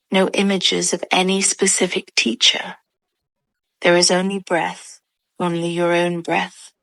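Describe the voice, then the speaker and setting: A woman's voice: fairly low pitch, dry recording. A woman narrating a guided meditation in a soothing, instructional way.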